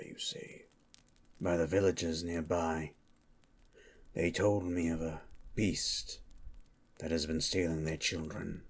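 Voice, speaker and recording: Man playing a very deep, husky monster hunter. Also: exaggerated voice. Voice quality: low, gravelly-husky. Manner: slow, guarded, dry. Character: gruff, suspicious male monster hunter by a campfire. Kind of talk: ASMR roleplay acting.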